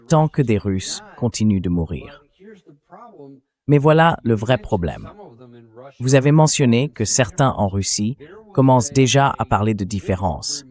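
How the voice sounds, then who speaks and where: A man's voice: on the low side, darkish tone. A synthetic male voice hosting a political interview, reading a translated dub as spoken commentary. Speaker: interview host. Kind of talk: political talk show commentary, dubbed translation.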